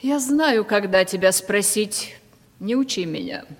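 A woman's voice: mid-range voice, expressive, measured pace. An actress playing a vain, domineering aging provincial lady in a Russian radio play, with a theatrical, imperious manner. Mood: stern, condescending. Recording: reverberant 1970s radio play recording.

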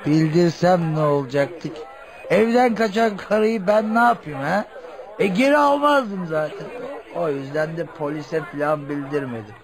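A shifty drunkard played by a man in a radio play, pitched fairly high. He is defensive and evasive, stammering when cornered. A faint crowd sits behind the voice. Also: normal speed, close to an everyday voice. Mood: defiant, bitter. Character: shifty defensive drunkard husband accused of selling his child. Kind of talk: Turkish radio theatre acting.

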